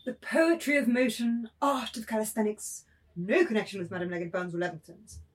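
A woman's voice: a little high, moderate pace, natural voice. A woman playing a flamboyant, pompous male dancing master in a literary table reading, affected and declaiming, with bits of French. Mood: preening.

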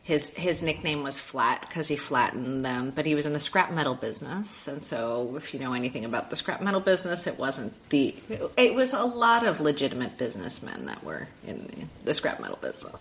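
A middle-aged woman from Miami, a guest author telling family stories in a chatty, animated way. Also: rumbling low, a little fast, few pauses. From Miami, Florida. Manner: chatty, animated, acts out quoted dialogue with restarts. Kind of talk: casual storytelling interview.

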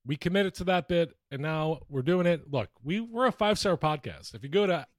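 A man's voice: on the high side, lively intonation, a little fast, no echo, studio quality. A man co-hosting a podcast in a casual, chatty style. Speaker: podcast co-host. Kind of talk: casual chat.

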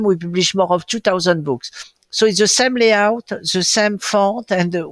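A middle-aged man with a French accent talks as an interview guest. His voice sits high for a man and is recorded dry. He builds his story in short, emphatic phrases. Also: no echo. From Morocco. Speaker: guest, publishing house co-founder. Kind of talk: conversational storytelling.

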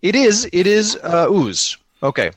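A man with a lively, swooping voice plays a tabletop game in loose, off-the-cuff talk. He jokes drily as he goes, in a close, dry recording. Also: high-pitched, unhurried, no room sound. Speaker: tabletop role-playing game player and podcaster. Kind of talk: casual improvised game chat.

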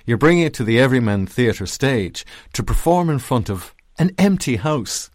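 A man with an Irish accent hosting a conversational arts interview in a measured, reflective way. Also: mid-range voice, big pitch swings, dry recording, studio quality. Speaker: radio interviewer.

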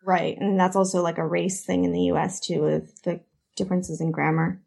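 A woman's voice: fairly low pitch, level tone, normal speed, vocal fry, darkish tone, slightly rough. A woman with a Canadian accent hosting a podcast discussion, chatty and prone to long tangents. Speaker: podcast host.

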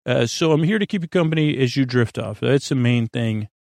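A man with a gravelly, creaky voice talks close and dry into the microphone as a podcast host. He wanders through a sleepy bedtime monologue, doubling back and leaving thoughts half finished. His delivery is gently reassuring. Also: medium pitch, lively intonation, no room sound. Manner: meandering, halting, often trailing off and doubling back. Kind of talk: rambling bedtime monologue meant to help listeners sleep.

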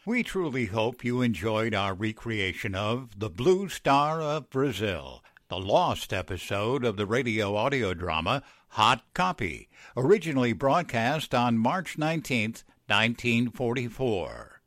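A male announcer in the old-time radio manner, reading formally. His mid-pitched voice is measured and slow with lively rises and falls, recorded dry. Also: no echo. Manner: formal, deliberate.

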